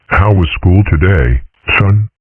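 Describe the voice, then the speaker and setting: A man voicing a serene, eccentric old dragon father in a cartoon comic dub, husky and measured like a sage. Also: deep voice, animated, no room sound, exaggerated voice. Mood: placid. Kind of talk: comic strip dub acting. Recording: tinny phone-like band-limited audio.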